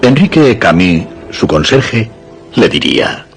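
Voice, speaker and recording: A man's voice: sits low, very animated, unhurried. A man giving dramatic scripted narration for suspense radio theatre. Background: music.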